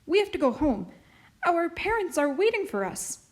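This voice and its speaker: A woman voicing an eager, polite, excitable young schoolgirl in a children's audiobook. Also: extremely high pitch, normal speed, pitched above the performer's own voice, full character voice. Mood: hurried, polite. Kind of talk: audiobook character voice.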